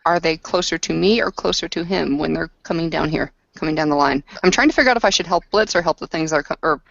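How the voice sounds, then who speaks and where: A woman's voice: low-pitched, fast talker, gravelly, a bit bright, dry recording. Sweary woman playing a tabletop game. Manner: swearing, argumentative, slangy. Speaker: player in a tabletop roleplaying game. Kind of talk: casual game chat. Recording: dull, close lo-fi recording.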